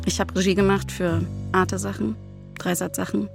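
A woman chats casually as a podcast co-host. Her voice is medium in pitch and her manner playful and informal, with faint music behind her. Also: little pitch movement, normal speed, gravelly. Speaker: comedy writer, podcast co-host. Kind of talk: casual chat.

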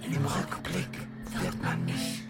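An actor in a radio drama voicing an androgynous, oracular creature of gaps and memory, declaiming grandly in fragmented, poetic phrases. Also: lightly acted. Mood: visionary, exalted. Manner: declamatory, slow, fragmented phrasing with weighty pauses. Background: prominent music.

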